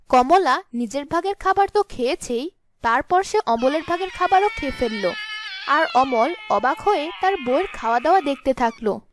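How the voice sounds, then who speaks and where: A woman's voice: high-pitched, dry recording. A woman narrating a scripted fairy tale as the storyteller.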